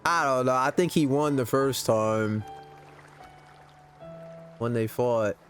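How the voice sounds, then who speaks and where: A man streaming a casual live gameplay reaction, speaking in halting, repetitive, slangy bursts. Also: mid-range voice, soft background music.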